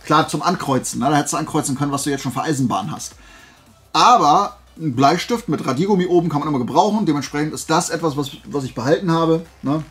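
A man's voice: on the high side, fast, fairly bright tone. A man chatting casually to viewers like a vlogger, in a rambling, digressive and self-deprecating way. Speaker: YouTube vlogger showing flea market and fair finds.

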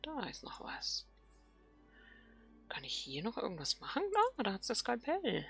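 A woman's voice: mid-range voice, sing-song, unhurried, creaky, fairly bright tone, soft background music. A woman hosting a let's play, thinking aloud casually and murmuring questions to herself as she plays.

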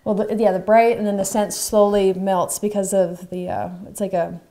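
Give me a chatty woman co-host with a mellow voice. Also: mid-range voice. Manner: chatty and animated, quick restarts, laughs easily. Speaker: fishing show co-host. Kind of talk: casual chat. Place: bright indoor studio at a table.

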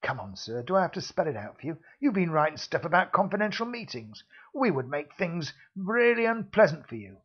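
A man's voice: fairly high pitch, sing-song, brisk, husky. A man voicing a menacing male secret-service heavy who bullies with mock politeness, on a dull lo-fi recording. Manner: mock-polite, sneering, threatening.

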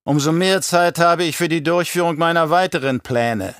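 A man's voice: on the high side, moderate pace, a bit bright, smooth, no room sound, studio quality, voice pushed up, close to an everyday voice. A man playing a scheming, imperious older baron in an audiobook, with a mocking, condescending, theatrical delivery. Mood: coldly scornful. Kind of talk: audiobook character acting.

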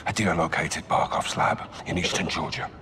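An actor playing a gruff, steely British military captain in a video game, terse and commanding. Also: male voice, sits low, steady tone, moderate pace, a little hiss or hum. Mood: low, resolute. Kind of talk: cinematic military game acting. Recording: loud score and effects behind. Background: music, vehicles, helicopter.

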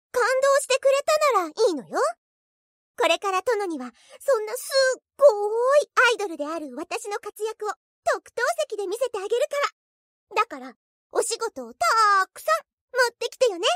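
A woman's voice: extremely high pitch, sing-song, moderate pace, fairly bright tone, dry recording, studio quality, heavily acted. A woman playing a cheerful, proud, self-confident young idol in an anime game, with a perky, theatrical, girlish delivery. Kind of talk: anime game character voice lines.

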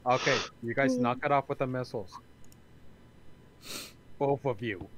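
A man's voice: medium pitch, measured pace, fairly bright tone, muffled recording. A man chatting casually with friends during a multiplayer game, explaining things in a matter-of-fact way. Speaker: gaming companion. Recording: voice chat with game vehicle noise. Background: video game vehicle noise.

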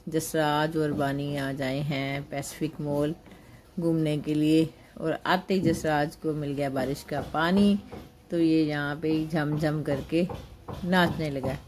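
Older woman, vlogging in a shopping mall, flat and mellow delivery, phone mic. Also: low voice, a little hiss or hum. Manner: slow, homely commentary on family outing. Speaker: vlogger, grandmother. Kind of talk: casual vlog narration. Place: busy indoor shopping mall. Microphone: handheld phone camera.

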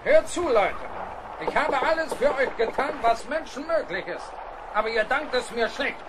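A man's voice: extremely high pitch, animated, moderate pace, background noise. An actor playing a tyrannical, furious ship captain in a German radio play, commanding and menacing as he berates his crew. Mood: stern, aggrieved.

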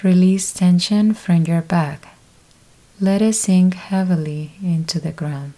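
Slow, mellow-voiced woman guiding meditation. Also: fairly low pitch. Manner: slow, calm step-by-step instructions with long pauses. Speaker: yoga nidra teacher. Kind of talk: guided meditation.

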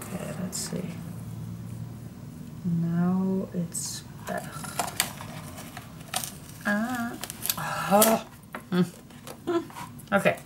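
A woman's voice: medium pitch, very slow, pauses often. A middle-aged Dutch woman with a Dutch accent, hosting a casual, chatty craft tutorial and often murmuring to herself as she works. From Netherlands. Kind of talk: casual chatty hands-on demonstration. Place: indoor craft table. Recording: clearly noisy room recording.

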